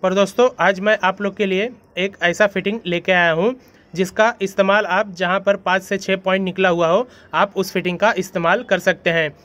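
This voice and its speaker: A man's voice: sits high, fairly even intonation, moderate pace, fairly bright tone. A young man hosting a plumbing channel, explaining a pipe fitting in a friendly voice-over and often calling viewers his friends. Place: voice-over over animation.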